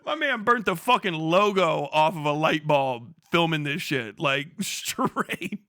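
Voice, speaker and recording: A man with a high, bright voice delivers a casual streamer rant. He sounds incredulous and mocking, swearing freely in loose slang. The sound is dry, with very little room on it. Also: animated, a little slow, no echo. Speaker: live streamer reacting to internet drama. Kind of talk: casual profane ranting commentary.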